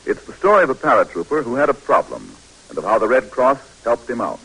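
Bright, emphatic 1940s male radio announcer. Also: mid-range voice, huge pitch swings, fast, slightly rough, poor audio. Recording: old radio recording.

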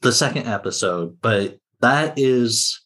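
Male podcast guest, mid-range voice, informal fan talk, halting delivery with a joking bent. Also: mid-range voice, animated, fairly bright tone. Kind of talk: casual fan podcast chat.

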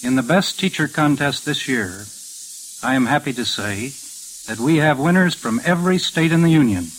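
An American man with a medium-pitched voice delivers a prepared speech in a measured, oratorical way. He sounds like a formal academic on 1940s radio, with faint hiss on the recording. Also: lively intonation, low-quality recording. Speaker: professor, scholarship committee chairman.

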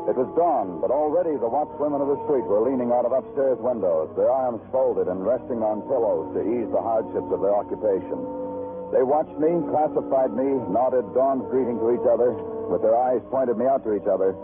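Male actor as hard-boiled detective, American, wry, deliberate narration, vintage radio crime drama, thin phone-like sound, prominent music. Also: medium pitch, lightly acted. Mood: wry, brooding. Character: wry, weary, steady male police detective narrating his case. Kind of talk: old-time radio crime drama acting.

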